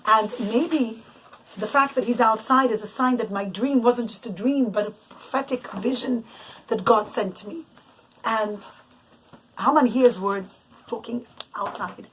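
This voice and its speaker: A woman telling a bedtime story to children off the cuff, in a halting way with emphatic repetition. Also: on the high side, a little hiss or hum, rough recording. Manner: halting, restarts and loses the thread, emphatic repetition.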